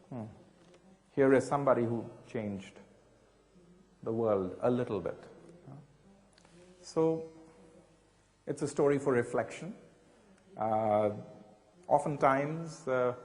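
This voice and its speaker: A man giving a reflective talk, measured and thoughtful, often posing rhetorical questions to his listeners. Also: medium pitch, big-room sound. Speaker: speaker.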